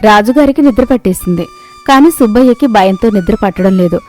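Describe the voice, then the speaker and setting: A woman's voice: medium pitch, lively intonation, a little fast, a little mellow, soft background music. A woman reading scripted narration of a moral tale as a storyteller.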